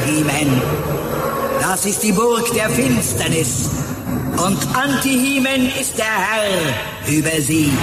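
An actress playing a grave, solemn sorceress in a German children's audio drama, with a foreboding delivery. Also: female voice, medium pitch, very noisy. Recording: echoing voice over loud drama music.

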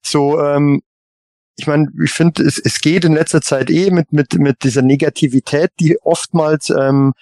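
Halting, higher-voiced man chatting on a podcast. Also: steady tone, a little mellow, no room sound. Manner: halting, repeats words while thinking. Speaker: podcast panellist. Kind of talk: casual fan podcast chat.

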